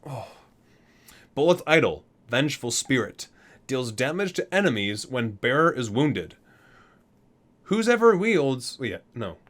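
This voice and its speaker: A man reads aloud in an ASMR style at a medium pitch that swings widely up and down. He is halting at times, repeating and correcting himself, and drops casual asides between the written lines. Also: sing-song, fairly bright tone. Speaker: video host reading aloud from a game book.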